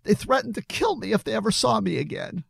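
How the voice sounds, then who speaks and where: A man's voice: on the high side, lively intonation, moderate pace, creaky, a little mellow, slightly rough, no echo, clean studio sound, pitched above the performer's own voice, full character voice. A man voicing an agitated, defensive young man on the edge of tears in an audiobook story. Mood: yelling, tearful. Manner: evasive, emotional outbursts.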